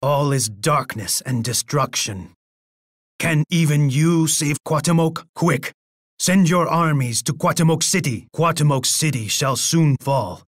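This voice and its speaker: An actor playing a proud, menacing Aztec emperor in a video game, boastful and imperious, speaking with pompous theatrical weight. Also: male voice, fairly high pitch, measured pace, no room sound, clean studio sound. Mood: grave, urgent. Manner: pompous, speaks of himself in the third person. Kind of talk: dramatic video game character lines.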